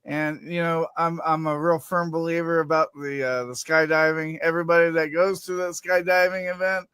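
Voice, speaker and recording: High, bright stammering man host. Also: little pitch movement, moderate pace, clean tone, no echo, clean studio sound. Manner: stammering, loose, swears casually. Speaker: show host. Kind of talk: casual podcast chat.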